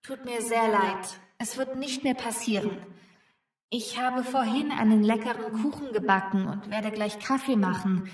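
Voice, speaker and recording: A woman's voice: on the high side, measured pace, lightly acted. A woman playing an apologetic, accommodating mother and neighbour in a scripted lesson dialogue, recorded roomy and distant. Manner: polite, apologetic. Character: apologetic, accommodating mother living next door.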